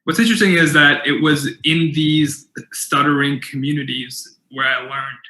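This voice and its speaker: A man's voice: on the high side, steady tone, moderate pace, pushed and bright, echoey room, low-quality recording. Earnest young man with a stutter. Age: young adult. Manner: earnest, with stutter repetitions and fillers. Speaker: keynote speaker. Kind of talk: motivational keynote talk.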